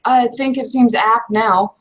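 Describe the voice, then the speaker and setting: A woman's voice: fairly high pitch, fairly even intonation, slight background noise, lightly acted, poor audio. Woman playing a flustered, stammering young woman. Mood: awkward, evasive. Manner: halting, stammering, slangy. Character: awkward, evasive young woman, flustered werewolf student.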